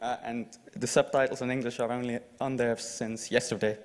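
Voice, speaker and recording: Young male conference speaker, Flemish accent, casual and halting delivery, mid-pitched, echoing hall. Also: level tone. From Flanders, Belgium. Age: young adult. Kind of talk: informal lightning talk to an audience. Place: large lecture hall, indoors. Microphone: handheld microphone. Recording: long hall reverb from PA.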